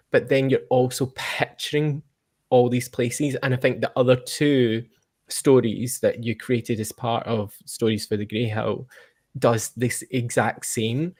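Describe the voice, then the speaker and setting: A man's voice: mid-range voice, a little mellow. A man talking conversationally as an interviewer, explaining his thoughts in an appreciative way. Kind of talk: conversational commentary.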